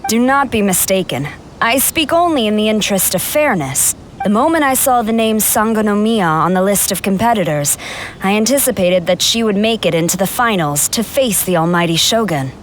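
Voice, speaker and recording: A woman's voice: medium pitch, lively intonation, moderate pace, slight background noise, lightly acted. A woman playing a stern, dignified general in a video game, speaking formally and with reserve. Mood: stern, cautioning.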